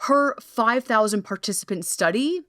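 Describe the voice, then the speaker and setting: A woman's voice: on the high side, animated, normal speed, fairly bright tone, no room sound, studio quality. Bubbly, gushing woman podcast host. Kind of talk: casual podcast chat.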